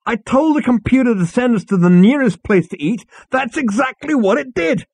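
A man voicing a brash, vain two-headed male alien adventurer who talks loud and slangy. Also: very high-pitched, expressive, moderate pace, vocal fry, husky, no echo, voice pushed up, full character voice.

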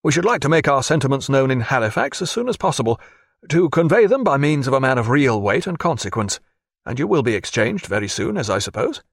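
A man voicing a fretful, excitable middle-aged merchant who pleads and grumbles in a raised, fussy voice. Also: medium pitch, a little fast, gravelly, no room sound, clean studio sound. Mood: earnest. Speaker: former loyalist and merchant.

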